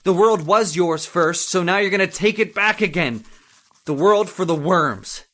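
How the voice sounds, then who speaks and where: An actor playing a terrified, guilt-ridden man losing his sanity in an old-time radio horror monologue, halting and repetitive in melodramatic delivery. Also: sits high, fairly bright tone. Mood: bitter, crazed brooding.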